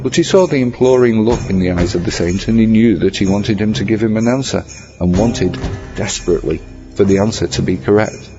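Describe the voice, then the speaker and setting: A man reading a scripted horror story as narrator, in an ornate, measured storytelling manner. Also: lowish voice. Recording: slightly dull audio over music. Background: music.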